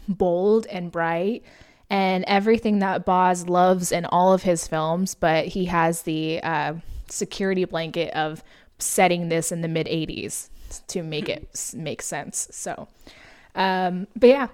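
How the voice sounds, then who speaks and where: A woman's voice: lowish voice, smooth. An American woman co-hosting a podcast, rambling through a movie recap with lots of fillers while losing her voice. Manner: rambling, filler-heavy, laughs often. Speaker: podcast co-host. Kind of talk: casual movie review chat.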